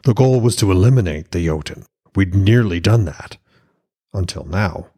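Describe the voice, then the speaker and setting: A man's voice: deep voice, animated, normal speed, mellow, breathy edge. A man voicing a stern, commanding Norse god-king and father in a fantasy audiobook.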